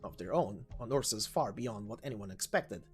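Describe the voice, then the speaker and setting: Woman as an earnest, low-voiced former knight. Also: animated, normal speed, soft background music. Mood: somber. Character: earnest, troubled female former knight, loyal aide.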